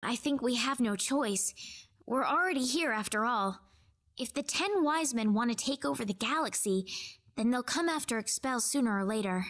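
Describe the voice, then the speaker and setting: A woman's voice: a little high, animated, moderate pace, fairly bright tone. A woman playing an earnest, determined young heroine in a fantasy video game, with a sincere, questioning manner. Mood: concerned, resolute. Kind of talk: dramatic video game dialogue.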